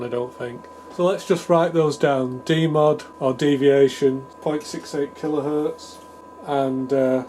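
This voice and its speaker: Male hobbyist presenter, mid-pitched, improvised technical walkthrough, tentative and thinking out loud, audible hum and noise in the recording. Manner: thinking aloud, tentative, self-deprecating. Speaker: hobbyist radio repair presenter. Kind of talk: casual, improvised technical demonstration. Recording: clear background noise with hum.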